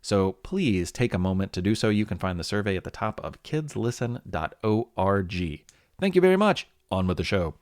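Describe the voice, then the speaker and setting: A man's voice: on the low side, sing-song, moderate pace, no echo, clean studio sound. A man hosting and narrating a history podcast for children, reading a script in a conversational, playfully dramatic way.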